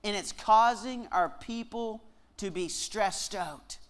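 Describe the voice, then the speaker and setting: A Canadian man with a slight Southern twang, giving a joking sermon-style lecture with slow, deliberate emphasis on key words. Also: high-pitched, fairly bright tone, clear, resonant tone. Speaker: guest speaker at a Bible lectureship.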